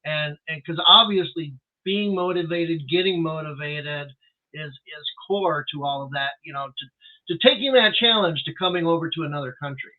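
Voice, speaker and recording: A male host speaks in a friendly, encouraging way, nudging his guest to share more. His voice sits a little high. The sound is thin and tinny, like a phone line. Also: normal speed. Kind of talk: interview, casual chat.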